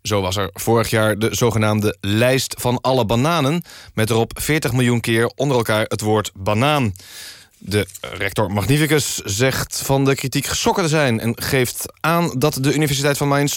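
A man's voice: medium pitch, normal speed, dry recording, studio quality. A man presenting a scripted mock news magazine on the radio as its host.

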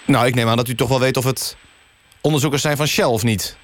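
Casting: man as straight-faced news host for radio satire; average pitch, earnest and halting delivery. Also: steady tone, close to an everyday voice. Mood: earnest, incredulous. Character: earnest, incredulous male radio news interviewer. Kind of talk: deadpan mock news presenting and interviewing.

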